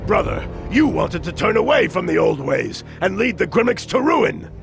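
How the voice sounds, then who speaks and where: Proud, gravelly high-voiced orc prince. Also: male voice, big pitch swings, moderate pace, over music, exaggerated voice. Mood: earnest resolve. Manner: earnest, declamatory. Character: proud, earnest male orc prince, spiritual and brash. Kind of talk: fantasy video game cutscene acting.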